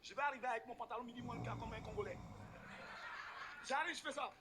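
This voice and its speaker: A man doing animated, exclamatory stand-up comedy, teasing a live audience from the stage. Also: very high-pitched, quick pace. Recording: noisy live stage recording with ambience.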